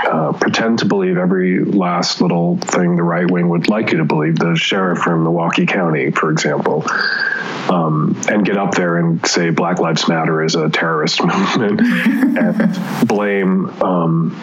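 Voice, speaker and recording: A lower-pitched man talking with blunt emphasis, swearing freely and wandering into asides as he makes his case. The sound is dull and muffled. A faint hiss runs underneath. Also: moderate pace. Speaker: guest, newspaper columnist. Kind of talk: opinionated conversation. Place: Seattle area.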